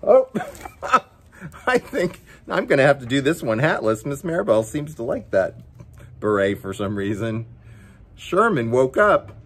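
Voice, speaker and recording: A man's voice: medium pitch, big pitch swings, measured pace, fairly bright tone, husky. A man reading a children's picture book aloud as story time host, with playful, chatty asides to his pet dog.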